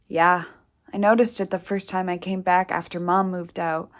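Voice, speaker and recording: A woman's voice: on the low side, level tone, moderate pace, close to an everyday voice. A woman acting a practical, matter-of-fact sister of few words in an audio play. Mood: practical, cautious. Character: practical, matter-of-fact sister helping search a derelict family home. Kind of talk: naturalistic audio play dialogue.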